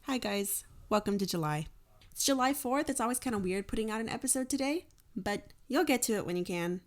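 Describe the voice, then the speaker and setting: A woman's voice: on the high side, animated, fairly quick. A woman speaking as a podcast host, chatting casually and informally to listeners. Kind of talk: casual chatty episode introduction.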